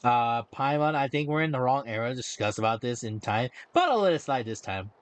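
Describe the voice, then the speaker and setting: A man's voice: mid-range voice, animated, fairly quick. A man giving casual commentary as he plays through a game. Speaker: streamer reacting to a game.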